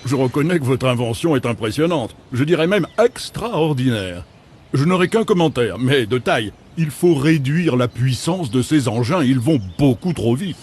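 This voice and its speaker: A man's voice: mid-range voice, expressive, moderate pace, a little mellow, a little hiss or hum, natural voice. A man voicing an indignant, self-important village mayor in a cartoon, speaking in a formal, pompous manner. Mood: measured, impressed. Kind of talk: cartoon voice acting.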